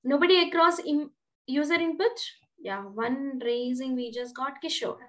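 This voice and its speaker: A woman's voice: sits high, moderate pace, fairly bright tone. A woman teaching programming in an online class, explaining step by step and asking the students questions. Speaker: programming instructor. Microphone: webcam on a video call.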